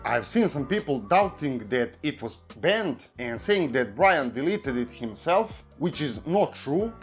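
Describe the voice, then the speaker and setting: Man, Serbian accent, non-native speaker, scripted commentary, measured even delivery, mid pitch, faint music behind. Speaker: YouTube commentary host. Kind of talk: scripted commentary read aloud.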